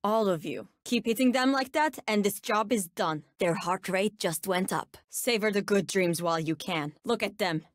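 An actress playing a menacing bounty hunter in a video game, with a Turkish accent and a cool, ominous, confident delivery. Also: female voice, medium pitch, moderate pace, few pauses, no echo, clean studio sound, lightly acted. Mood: confident, taunting. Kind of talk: video game character voice lines.

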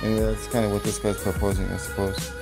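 A man's voice: fairly low pitch, level tone, fairly quick. A man talking off the cuff, rambling and swearing, with a voice-over over music. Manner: profane, rambling, laughs at own jokes. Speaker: vlogger. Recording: voice over loud background music.

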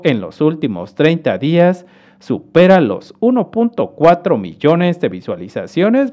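Male host, fairly high, animated delivery; friendly explainer for screen-capture commentary. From Mexico. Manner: friendly, explanatory, addresses viewers as dear friends. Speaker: YouTube earnings analyst host. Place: voice-over to a screen recording.

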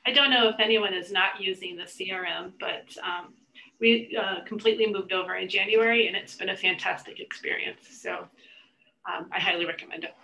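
Gravelly woman in echoey room. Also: medium pitch, steady tone, a little fast, sharp tone. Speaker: webinar attendee. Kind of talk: informal testimonial comment. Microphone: video call. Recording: echoey room over video call.

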